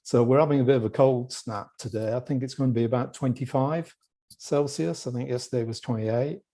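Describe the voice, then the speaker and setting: A man from the UK with a British accent, a company executive answering interview questions in a relaxed, chatty way over a video call, with joking asides. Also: mid-range voice, brisk. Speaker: guest executive.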